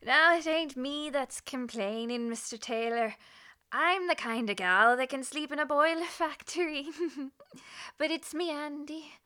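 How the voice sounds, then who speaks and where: A woman's voice: high-pitched, measured pace, sharp tone. An actress playing a chatty, nosy policewoman neighbor in a radio play, with a folksy, garrulous manner. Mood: folksy, probing. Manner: folksy, garrulous, colloquial, chuckling.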